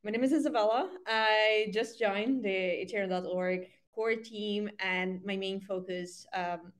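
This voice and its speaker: A woman's voice: medium pitch, fairly even intonation, measured pace. A woman introducing herself as a community coordinator on a group call. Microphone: online voice call.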